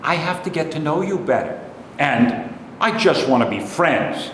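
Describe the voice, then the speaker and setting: A man's voice: fairly high pitch, expressive, a little slow, a bit bright. An actor playing a bitter, self-pitying man, ranting defensively and ruefully in a staged play reading. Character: bitter, self-pitying male loser recounting his failed love life. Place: live staged reading in a reverberant room. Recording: very echoey hall, distant mic, faint noise.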